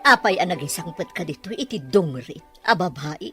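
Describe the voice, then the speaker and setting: A Filipino woman voicing a haughty, scornful older rich mother in an audio drama, with a husky, disdainful delivery. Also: on the low side, animated, normal speed, music in the background, close to an everyday voice. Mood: scornful, outraged.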